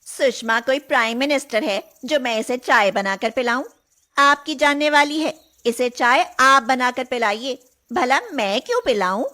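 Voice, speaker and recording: A woman voicing an insolent, sharp-tongued young daughter-in-law with a haughty, confrontational manner in a cartoon story. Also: high voice, a little slow. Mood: defiant, rude.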